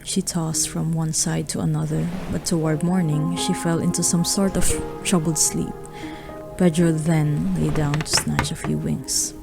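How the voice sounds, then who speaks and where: A woman's voice: low-pitched, little pitch movement, a little slow, vocal fry, music in the background. A woman narrating a radio drama, reading a scripted story.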